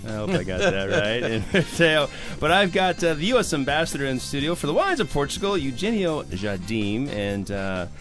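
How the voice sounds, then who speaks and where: A man hosts a weekend radio wine show, his pitch moving a lot as he tastes and chats with guests. He sounds upbeat, with light music faint behind him. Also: normal speed, hardly any pauses. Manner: upbeat, lively delivery. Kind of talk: radio talk show hosting.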